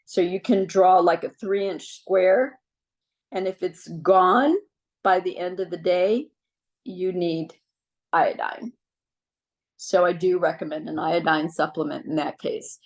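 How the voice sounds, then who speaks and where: Chatty middle-aged woman, lowish voice. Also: takes it slowly. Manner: relaxed, chatty, frequent ums. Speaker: wellness speaker. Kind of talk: casual advice talk on a video call. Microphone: video call.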